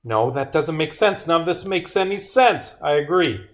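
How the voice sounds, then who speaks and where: A man's voice: on the high side, animated, moderate pace. A man livestreaming as a game developer, reading his own script aloud theatrically and dropping into muttered asides. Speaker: streamer and visual novel developer.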